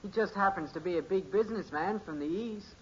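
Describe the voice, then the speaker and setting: An actor playing an eager, boastful teenage son in a 1950s radio sitcom, earnest and self-important. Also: male voice, sits high, brisk, lightly acted. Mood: defensive. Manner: earnest, self-important, hesitant under questioning. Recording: dull, hissy old radio recording.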